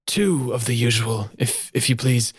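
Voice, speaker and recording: A woman voicing a cold, taciturn retired male hitman who speaks curtly and deadpan. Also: a bass voice, a little slow, slightly rough, heavily acted. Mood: gruff. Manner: curt, flat, deadpan.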